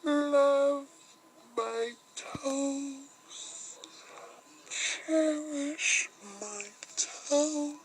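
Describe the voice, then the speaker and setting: A voice run through a pitch-shifting voice changer, giving drawn-out, wheedling, imperious pleas and commands in a theatrical way. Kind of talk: recorded voice changer clip, theatrical pleading and commands. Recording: noisy home recording with clicks.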